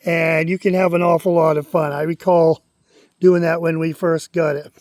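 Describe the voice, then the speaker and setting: Guy rambling on, kinda high voice, dry close sound. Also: level tone, moderate pace, a little mellow, no echo. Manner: rambling, conversational, stops to read aloud. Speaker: channel host. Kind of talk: casual talk to camera, reading aloud.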